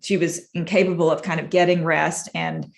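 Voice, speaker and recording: A woman from Massachusetts, a clinician, speaking as an interview guest in a reflective, earnest and measured way. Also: deep voice, flat delivery, gravelly. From Massachusetts, USA. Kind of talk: personal storytelling in an interview.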